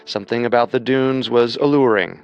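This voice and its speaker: A man narrating a scripted true-crime story in a measured, explanatory way. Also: medium pitch, creaky, dull audio. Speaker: co-host narrator. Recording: music under the voice.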